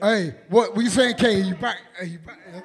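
A man MC, voice worn out from performing, loudly laughing and shouting out names in live banter. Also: high-pitched, brisk. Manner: loud, laughing, shouts out people. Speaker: MC on a live stream. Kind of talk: hyped live banter between sets.

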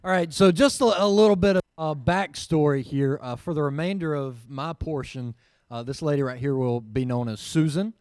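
A man's voice: on the high side, normal speed, a little mellow, no echo. A man giving an earnest personal testimony to a church audience, with plenty of ums along the way. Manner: earnest, storytelling, with frequent fillers. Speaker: mission team member giving a report.

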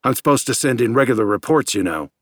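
A man's voice: mid-range voice, lively intonation, fast talker, fairly bright tone, no room sound, studio quality. A man voicing an agitated, suspicious space scientist in an audiobook, insistent and accusatory, verging on hysteria.

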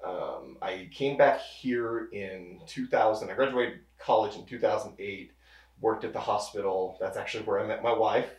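Guy in his 30s, pharmacist guest, lowish voice, rambles and restarts a lot, mic a bit far off in an echoey room. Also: normal speed. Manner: relaxed, rambling with many restarts. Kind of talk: casual interview. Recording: roomy echo, distant mic.

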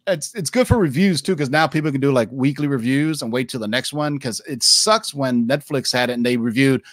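A man in his forties hosting a casual podcast chat in a mid-range voice. He rambles in an animated way and keeps tossing in "man" and "dude". The sound is dry and close. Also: mid-range voice, fairly quick, no room sound. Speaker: podcast host.

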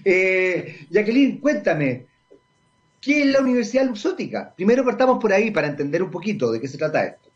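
A Chilean man hosts a radio talk show, mixing opinion with interview questions. His voice sits a little higher than most men's, and he stops often to gather his thoughts. The sound is a muffled, lo-fi radio recording. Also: very animated. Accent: Chilean Spanish. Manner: hesitant, many pauses with drawn-out fillers. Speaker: radio host. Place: radio studio. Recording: dull lo-fi radio broadcast.

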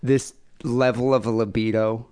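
A man's voice: medium pitch, level tone, normal speed, darkish tone. A man co-hosting a podcast in casual, crude, joking chat. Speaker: podcast co-host.

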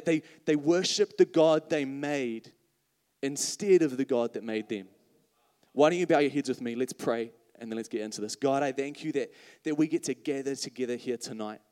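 A man's voice: mid-range voice, mellow. A man preaching a live sermon to a congregation, chatty and full of self-mocking jokes. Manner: chatty, joking, self-mocking asides to the crowd. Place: church hall before a congregation. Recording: reverberant hall.

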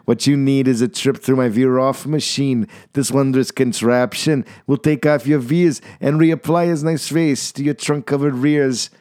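A man voicing a slick, fast-talking male traveling salesman in a rhyming storybook, with a clear, keen pitchman's voice. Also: fairly even intonation, darkish tone, no echo, clean studio sound, close to an everyday voice. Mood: sly.

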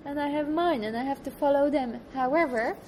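A woman's voice: high voice, fairly quick, mellow. A young woman from Poland with a Polish accent tinged with British, chatting casually with thoughtful, rambling restarts. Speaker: guest. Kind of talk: casual chat. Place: train station. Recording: noisy train station ambience.

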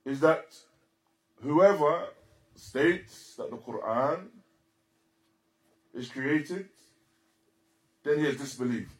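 A man giving a religious lecture as a teacher, speaking deliberately and emphatically in short, repeated phrases and switching into Arabic quotations. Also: on the high side, expressive, poor audio. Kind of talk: religious lecture explaining a text.

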